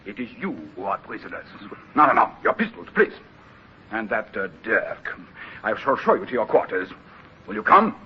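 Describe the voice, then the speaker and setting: An actor playing a courteous, coolly menacing French privateer captain in a radio drama, with a French accent and measured, formal speech. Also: male voice, on the low side, sing-song, slightly rough, full character voice. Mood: smooth menace. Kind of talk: old-time radio drama acting. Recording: dull old radio recording.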